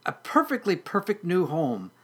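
A storyteller voicing a small orphaned kitten, sweet and timid but insistent, with mewing, pleading, halting speech. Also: medium pitch, huge pitch swings, fairly bright tone, husky, voice pushed up, exaggerated voice. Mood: sweetly stubborn.

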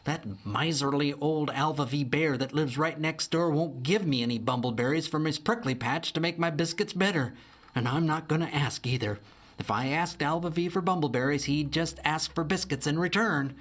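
Man doing a higher-voiced fussing she-bear, storybook baker, husky. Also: moderate pace. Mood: fretful. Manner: fussing, excited. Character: cheerful, busy female bear baker.

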